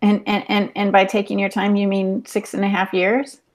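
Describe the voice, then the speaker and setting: A woman's voice: mid-range voice, completely flat delivery, normal speed. A woman chatting casually on a podcast panel in a teasing, playful way. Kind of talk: casual chat.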